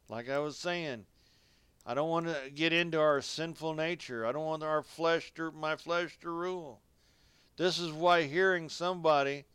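A man's voice: fairly high pitch, a bit bright, clear, resonant tone, no room sound. A man preaching a radio sermon, reading scripture and exhorting listeners directly in folksy, colloquial phrasing.

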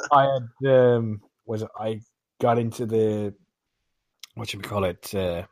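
A man's voice: fairly low pitch, takes it slowly, dry recording, low-quality recording. Man chatting casually, close dry sound. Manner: rambling, searches for names with filler words. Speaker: podcast roundtable panelist. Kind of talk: casual chat, retelling gameplay anecdotes.

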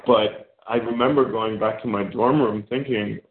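A man from Germany, a filmmaker guest, talking reflectively in a casual interview with many pauses and fillers. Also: on the low side, fairly even intonation. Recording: phone-like echoey remote call.

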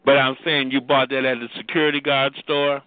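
A man's voice: mid-range voice, flat delivery, a little fast. Man asking follow-up questions. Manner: interviewer who asks follow-up questions and backchannels. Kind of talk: casual chat. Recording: dry, phone-like lo-fi sound.

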